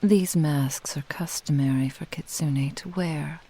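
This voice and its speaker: A woman voicing a vain, possessive kitsune witch in an intimate ASMR roleplay, speaking in a breathy, lingering whisper. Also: sits low, a little slow, mellow, exaggerated voice. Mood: courtly, possessive. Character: vain possessive seductive female nine-tailed kitsune witch. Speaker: roleplay audio voice actor.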